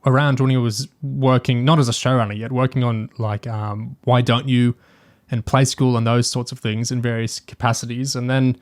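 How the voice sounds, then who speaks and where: A man's voice: mid-range voice, little pitch movement, moderate pace. A man co-hosting a podcast, talking casually and analytically with a fan's enthusiasm. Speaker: podcast co-host. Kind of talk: casual discussion of audio drama and TV.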